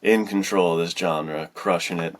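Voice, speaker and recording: Deep-voiced, slangy, enthusiastic man. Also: fairly even intonation, moderate pace. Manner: hyped, slangy, emphatic praise, frequent "dude". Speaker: podcast co-host and writer. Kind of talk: casual book chat.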